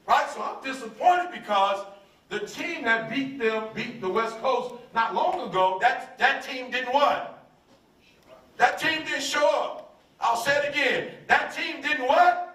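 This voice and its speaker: High, bright, emphatic motivational speaker man. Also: far from the mic. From United States. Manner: emphatic call-and-response preaching, repeats phrases. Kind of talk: live motivational speech. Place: large room with audience. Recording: roomy hall, voice from off-mic.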